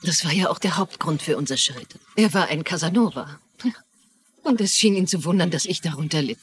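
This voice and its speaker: A woman's voice: sits low, lively intonation, fairly quick. An actress playing a bitter, worried ex-wife and mother, speaking emotionally in a dubbed TV crime drama.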